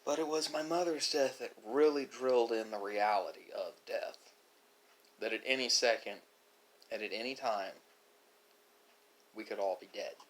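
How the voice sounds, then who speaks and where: A man giving a reflective, philosophical monologue as an online host, speaking haltingly with drawn-out pauses. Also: fairly low pitch, poor audio. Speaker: host of an ASMR mukbang channel.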